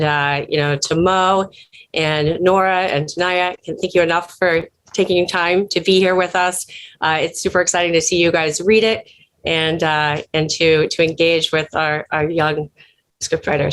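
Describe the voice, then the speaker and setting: A woman giving informal, effusive and encouraging thank-you remarks as an event host on an online video call. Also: low-pitched. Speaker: organization leader hosting an online event.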